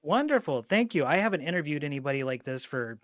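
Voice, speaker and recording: A man's voice: fairly high pitch, sing-song, fast talker, fairly bright tone, no echo, studio quality. A man hosting a podcast in a casual, informal way, with plenty of swearing. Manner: informal, profanity-laced. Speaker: podcast host and interviewer.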